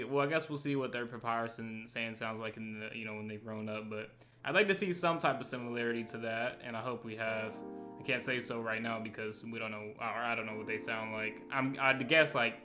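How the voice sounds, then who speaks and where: Young male reaction host, slightly higher-pitched, informal and emphatic, casual commentary over clearly audible guitar music. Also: brisk, pushed and bright. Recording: music playing behind the talk.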